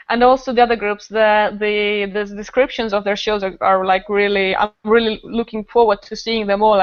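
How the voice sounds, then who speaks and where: A woman's voice: mid-range voice, no room sound. A woman chatting casually and enthusiastically on a podcast as a festival organiser, rambling as she goes. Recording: dull lo-fi podcast recording.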